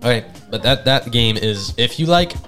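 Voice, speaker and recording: A man's voice: medium pitch, animated, moderate pace, bright, forceful tone. A man hosting a casual gaming podcast among friends, talking enthusiastically in slangy, profane language. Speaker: podcast host. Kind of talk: casual chat among friends, game ranking. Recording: background music under the voice.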